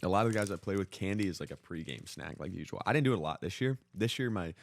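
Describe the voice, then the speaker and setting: Deep-voiced young man chatting. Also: expressive, fast, creaky. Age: young adult. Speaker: podcast co-host. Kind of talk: casual chat.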